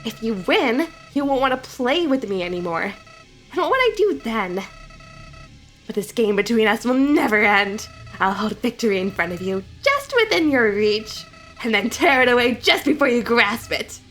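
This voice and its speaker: Girl doing a nasty gloating evil flower, high and swooping, slow and drawn out. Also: big pitch swings, fairly bright tone, soft background music, rough recording. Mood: menacing. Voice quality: mocking, taunting. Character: sneering evil flower, boyish, cruel and gleeful.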